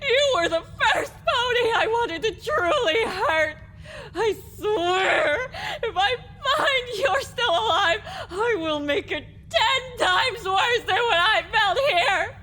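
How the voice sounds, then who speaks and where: A woman's voice: very high-pitched, expressive, slow pace, pushed and bright, slight background noise, exaggerated voice. A woman voicing an anguished young pony mare in a dramatised audiobook, tearful, pleading and vehement. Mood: tearful fury.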